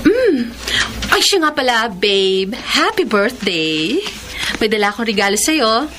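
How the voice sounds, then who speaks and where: A woman's voice: fairly high pitch, big pitch swings, slow pace. A woman acting in a Filipino radio drama as a sweet, breezy young girlfriend who mixes in English. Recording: music bed behind dramatised radio dialogue.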